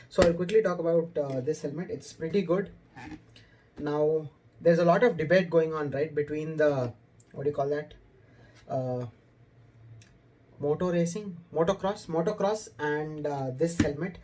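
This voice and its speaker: A young man with an Indian accent chats informally to his viewers as a motorcycle vlogger. His voice sits a little high but has a dark, relaxed tone. He is recorded in a room with faint noise behind him. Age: young adult. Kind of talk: casual vlog talk to camera. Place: indoors in a room.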